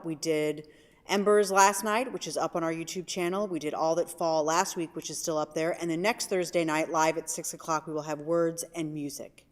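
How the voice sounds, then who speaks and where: A woman narrating and hosting a staged reading of a play. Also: sits low. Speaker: stage-directions narrator and event host. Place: theatre hall. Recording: very echoey hall, distant mic.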